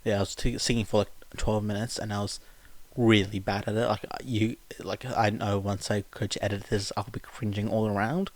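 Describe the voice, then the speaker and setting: A man's voice: on the low side, flat delivery, normal speed. A man who works as an amateur podfic reader, speaking informally as he narrates and chats to listeners. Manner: informal, rambling, self-deprecating. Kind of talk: amateur story narration with sung verses, casual rambling chat afterwards.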